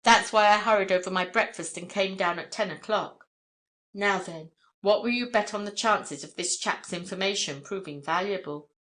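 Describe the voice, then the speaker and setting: A woman's voice: mid-range voice, moderate pace, fairly bright tone, close to an everyday voice. A woman voicing a confident young newspaperman with a clipped, assured, courteous manner in an audiobook.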